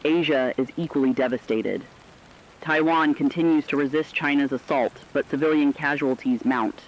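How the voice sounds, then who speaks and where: A man's voice: mid-range voice, moderate pace, natural voice. A man playing a stern radio war correspondent, giving a solemn and emphatic scripted report. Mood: grave, resolute. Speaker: news correspondent. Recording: dull lo-fi radio sound.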